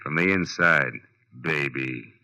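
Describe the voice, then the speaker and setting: Deep-voiced hard-boiled radio detective. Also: male voice, takes it slowly, pushed and bright, heavily acted. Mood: wry. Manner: dry, hard-boiled, sardonic. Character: wry, tough, world-weary male private detective narrating his case. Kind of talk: 1940s radio noir acting and narration. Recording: muffled old radio recording with music.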